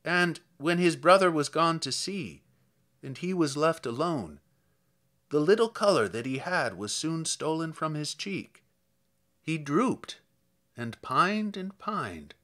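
A man voicing a kindly old village priest who recalls the dead, reading dramatic poetry in a measured, solemn, sorrowful way. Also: on the high side, animated, fairly bright tone.

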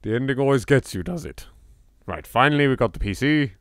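A man's voice: medium pitch, animated, brisk, no room sound, clean studio sound. A man with a British accent doing playful, exclamatory game commentary, bantering and swearing freely. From England. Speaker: let's play host. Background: game music.

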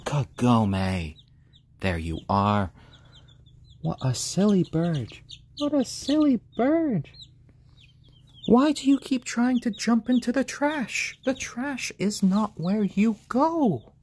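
A man with a slightly hoarse voice, chatting casually and gently on a live stream from a room at home, in halting explanations and pet talk. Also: high voice, expressive. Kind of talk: casual chat on a live stream, with cooing to pets. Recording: clear background noise, bird sounds.

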